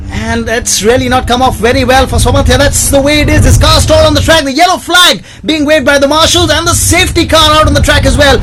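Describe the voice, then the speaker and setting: A man calling a live motor race as the lead commentator, excitable and breaking into shouts at the action. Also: very high voice, noisy background. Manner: excitable, rising to shouts at on-track incidents. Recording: loud crowd behind the commentary.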